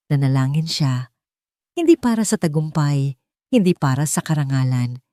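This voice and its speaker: A woman's voice: lowish voice, dark tone, dry recording, studio quality. A woman with a Filipino accent reading a dramatic story as a scripted narration. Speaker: audiobook narrator.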